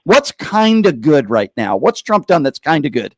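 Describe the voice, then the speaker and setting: A man's voice: a little high, sing-song, moderate pace, no room sound, clean studio sound. An American man hosting a political call-in show, arguing insistently, cutting in and stressing key phrases with repeated pointed questions. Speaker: talk show host. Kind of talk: heated political call-in debate.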